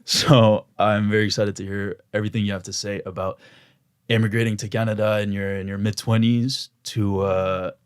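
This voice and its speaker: A young man from Belgium hosting a podcast interview, asking questions in a hesitant, halting way. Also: on the low side, level tone, smooth. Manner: hesitant, halting, full of restarts. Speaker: podcast host. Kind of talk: conversational interview. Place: radio studio.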